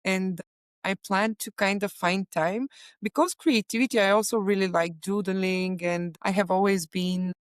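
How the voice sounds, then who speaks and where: A woman from Bulgaria, a plant medicine facilitator, speaking as an interview guest in a soft, earnest way with frequent pauses and fillers. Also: mid-range voice, steady tone, smooth, no echo, studio quality. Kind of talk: casual interview talk.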